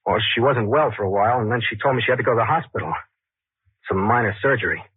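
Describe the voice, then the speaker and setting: A man's voice: medium pitch, quick pace, breathy edge, no room sound. An actor playing an evasive, hesitant young man confessing a troubled love affair in 1940s radio style. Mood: uneasy, confessional. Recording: muffled 1940s radio recording.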